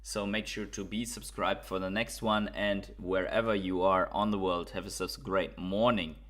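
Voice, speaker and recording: A man's voice: low voice, moderate pace. German-accented man hosting a crypto stream. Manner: relaxed, thinks aloud with frequent fillers and repeated words. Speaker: crypto livestream host. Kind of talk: casual livestream chat reading viewer comments.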